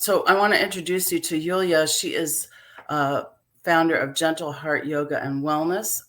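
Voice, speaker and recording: A woman's voice: extremely low pitch, fairly bright tone. A woman hosts a healing live stream from a home video call, talking in a chatty way with many fillers. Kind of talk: casual live-stream talk.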